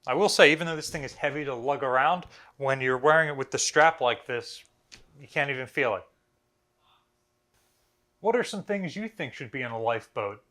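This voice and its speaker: An American man in his thirties hosts an informal explainer. His voice sits at a middle pitch with a bright, forceful edge. He talks casually to the camera, with a wry, dry sense of humour. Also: lively intonation, normal speed, long pauses. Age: 30s. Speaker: museum video host. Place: small bare indoor room.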